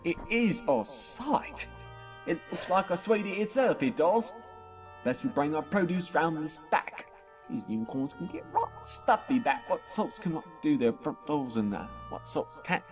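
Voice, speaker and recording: Guy doing a folksy country dad pony, highish swoopy voice, kinda off the mic w music behind. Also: big pitch swings, measured pace, far from the mic. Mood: hearty. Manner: rustic, folksy phrasing with tags at sentence ends. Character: doting, folksy working-class pony father delivering goods. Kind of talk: dramatised fan fiction audio.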